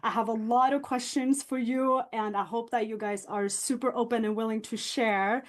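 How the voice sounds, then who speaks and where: A woman's voice: fairly high pitch, steady tone, moderate pace. A young woman from Norway, hosting a podcast in an open, chatty way with frequent fillers. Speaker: podcast host. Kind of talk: casual podcast conversation.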